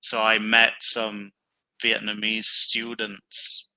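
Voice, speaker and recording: A middle-aged man with a slightly low, very bright voice, talking unhurriedly. He chats easily and tells stories at a slow, relaxed pace, as a tutor in casual conversation practice. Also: rough recording. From England. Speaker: online English tutor.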